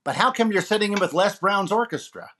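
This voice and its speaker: Radio variety host, played by a man, wisecracking and theatrical, very lively intonation. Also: high-pitched, a little fast, slight background noise. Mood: bantering. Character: wisecracking male comedian hosting a radio variety show. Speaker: radio show host and comedian. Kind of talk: 1940s radio comedy recreation.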